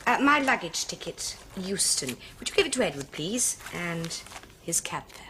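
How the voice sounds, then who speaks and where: An actress playing a cool, haughty young upper-class English lady who speaks in a crisp, cutting, condescending way in a 1970s television period drama. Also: lowish voice, very animated, moderate pace, sharp tone, a little hiss or hum.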